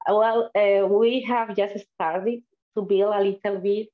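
A woman's voice: medium pitch, steady tone, takes it slowly, narrow, tinny audio. A woman from Spain with a Spanish accent gives an online seminar talk over a video call, chatty and friendly, with frequent "uh" fillers. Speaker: invited speaker.

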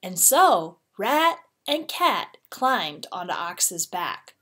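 A woman narrating a children's storybook expressively, with deliberate, dramatic phrasing. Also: fairly low pitch, sing-song, a bit bright, husky. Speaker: read-aloud teacher.

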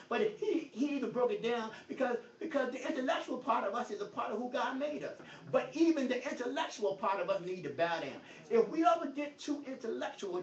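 A man with a high voice preaches at a Bible study, his volume swinging from loud bursts to quiet. His comes through with a stutter. The phone sits far from him in an echoey room, so the sound is dull and faint, with a little hiss. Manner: preachy, emphatic, rises and drops in volume; says he stutters. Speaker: pastor. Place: church hall. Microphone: phone across the room. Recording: echoey, distant, lo-fi phone recording.

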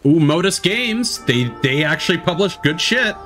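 A man's voice: fairly high pitch, expressive, moderate pace, fairly bright tone. A man chatting casually as a streamer reacting to a game showcase. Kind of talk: casual chat. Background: show music.

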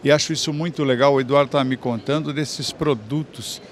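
Enthusiastic Brazilian trade fair host. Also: male voice, medium pitch, fairly even intonation, fairly quick, a little hiss or hum. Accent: Brazilian Portuguese. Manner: enthusiastic, full of praise. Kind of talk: on-site interviews at a trade fair. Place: large indoor exhibition hall. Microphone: handheld mic.

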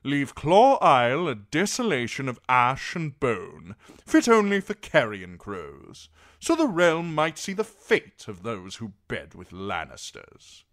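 A man voicing a proud, aggressive knight in an audiobook, loud and declamatory. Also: mid-range voice, wildly swooping pitch, slow pace, voice pushed up, full character voice. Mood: vehement, scornful. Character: aggressive, indignant male knight, bloodthirsty and proud.

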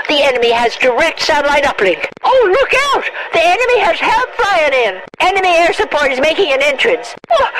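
A woman's voice: sits high, heavy background noise. An older American woman playing a feisty, foul-mouthed grandma announcer in a video game, with a folksy, exclamatory, cranky scolding manner. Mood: alarmed, brash.

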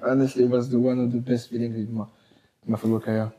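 A young man with a French accent, a footballer answering interview questions in halting, simple English with easy laughs, on a lapel mic in a small wood-panelled room. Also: medium pitch, flat delivery, warm tone, low-quality recording. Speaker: footballer guest. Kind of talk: casual interview.

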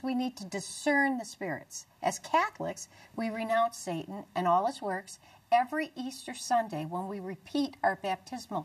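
A middle-aged American woman presenting a religious talk show in an earnest, deliberate way. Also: medium pitch, distant mic, poor audio. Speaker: TV show host. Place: small dark TV studio, indoors.

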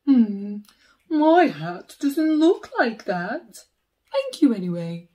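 Woman voicing a forlorn, polite storybook bear, high-pitched. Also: very animated, takes it slowly, warm tone. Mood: polite, earnest. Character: big polite forlorn bear searching for his lost hat. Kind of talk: children's picture book read-aloud.

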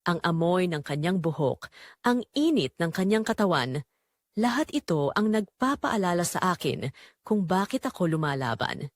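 Expressive Filipino woman narrating a drama. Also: on the low side, moderate pace, dry recording, clean studio sound. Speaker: storyteller narrating a Tagalog audio drama. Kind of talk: dramatic first-person scripted narration.

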